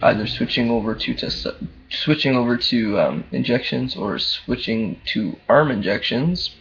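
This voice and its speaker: A young man with a very low voice, talking candidly in a casual video diary, full of ums and uhs. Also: lively intonation, unhurried, creaky, fairly bright tone. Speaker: vlogger. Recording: dull lo-fi camera audio, faint hum.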